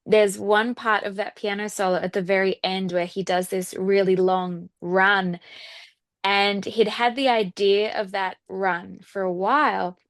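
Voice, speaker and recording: A woman with an Australian accent chatting casually as an interview guest, often softening points with filler phrases like "kind of". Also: medium pitch, steady tone, unhurried, no echo. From Sydney, Australia. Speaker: guest singer-songwriter.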